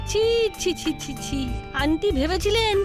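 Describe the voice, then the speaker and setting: Sly little cartoon boy schemer, extremely high squeaky voice, mock-concerned, over prominent music. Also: expressive, normal speed. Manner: mock-concerned, conspiratorial tattling. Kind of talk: children's cartoon dubbing.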